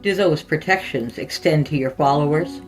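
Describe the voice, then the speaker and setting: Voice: medium pitch, moderate pace, faint music behind, natural voice. A narrator playing a firm, defiant male army sergeant with a formal, authoritative manner.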